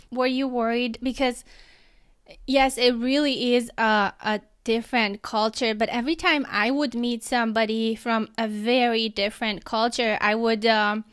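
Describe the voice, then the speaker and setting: A woman's voice: fairly high pitch, steady tone, measured pace, a bit bright, clear, resonant tone. A woman hosting a podcast, interviewing in a casual, enthusiastic way. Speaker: podcast host.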